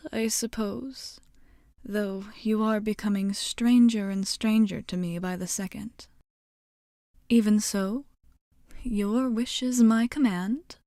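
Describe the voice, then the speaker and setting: A woman playing a courteous, coy vampire bartender in a soft-spoken ASMR roleplay, with measured formal phrasing and breathy, whispery endings. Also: a little high, dry recording, clean studio sound. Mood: polite, teasing. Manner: formal, measured, hesitant pauses, teasing politeness.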